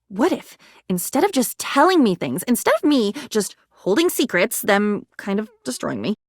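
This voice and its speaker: A woman playing a newly self-aware female being in a video game, excitable, whimsical and vulnerable, with a dramatic, wordy delivery that halts and gushes. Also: fairly high pitch, breathy edge, dry recording, clean studio sound, heavily acted. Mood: wondering, tentative. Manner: dramatic, wordy, halting then gushing. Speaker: video game character voice actor. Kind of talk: animated game dialogue, theatrical monologue.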